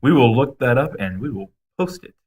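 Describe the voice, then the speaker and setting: A man's voice: mid-range voice, lively intonation, fairly quick, darkish tone. A man co-hosting a podcast in casual, agreeable conversation. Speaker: podcast co-host.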